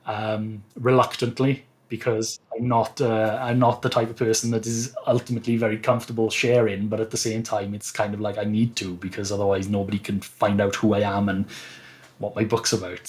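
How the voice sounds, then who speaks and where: A man speaking as an author guest, giving casual, conversational interview answers. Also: on the low side. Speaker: podcast guest, self-published author.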